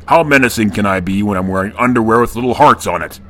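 A man voicing a hulking, menacing pirate captain with a gruff baritone and a commanding, blustering manner. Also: fast, a bit bright, a little hiss or hum, lightly acted. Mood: indignant. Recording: narration with music underneath.

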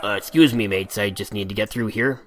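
A nervous young would-be pirate who stammers and tries to calm others down, as a male narrator voices him. A plain, unforced storybook voice. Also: on the low side, fairly quick, a little hiss or hum, natural voice. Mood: placating. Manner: hesitant, placating.

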